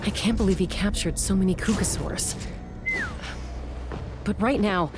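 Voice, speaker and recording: A woman's voice: mid-range voice, a little slow, over music, close to an everyday voice. A woman voicing a level-headed young adventurer in a video game, speaking with composed purpose. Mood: focused, serious.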